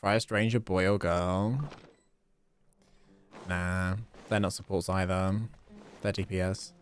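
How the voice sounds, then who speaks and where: A man's voice: low-pitched, flat delivery, slow pace, a little hiss or hum. A man streaming a game, musing aloud in casual, offhand commentary. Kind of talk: casual live gameplay commentary.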